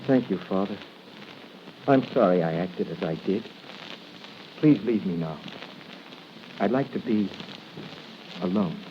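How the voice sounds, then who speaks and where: An actor playing a desperate, emotional young prisoner condemned to die, in 1940s radio melodrama on a muffled, hissy recording. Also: male voice, low voice, lively intonation, slow pace, long pauses. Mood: subdued, despairing. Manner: emotional, anguished.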